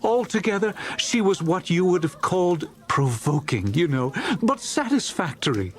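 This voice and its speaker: A man playing a genial storyteller of a Victorian Christmas tale, narrating with a jovial, relishing, expressive manner. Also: high-pitched, normal speed. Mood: playful relish. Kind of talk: dramatized audiobook narration.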